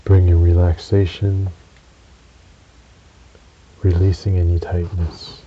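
A man narrating a guided relaxation meditation in a soothing, measured way, with long pauses between short phrases. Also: low voice, flat delivery, mellow. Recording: dull recording with audible hiss.

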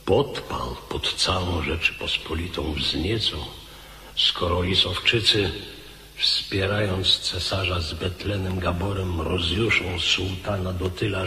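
A man's voice: deep voice, pushed and bright, full character voice. A man playing a weary old military commander in a radio play, solemn and declamatory. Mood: grave, troubled. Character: weary old hetman commander pleading before his king. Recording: hall-like reverb, noise and hum.

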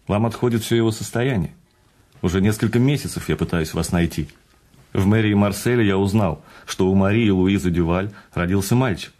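A man acting in a radio drama as an urbane, patient notary who speaks in a measured, courteous way with teasing emphasis. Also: on the low side, a little mellow, close to an everyday voice. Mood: courteous, coaxing. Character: urbane, patient male notary breaking news of a fortune. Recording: studio radio play with faint music.

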